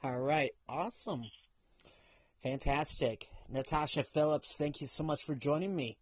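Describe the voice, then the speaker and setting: A man's voice: a little high, a little slow, no echo, lo-fi sound. Dry-voiced male podcast host. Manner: easygoing, joking, with fillers. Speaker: podcast host and news reader. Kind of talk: casual interview chat and read news segment.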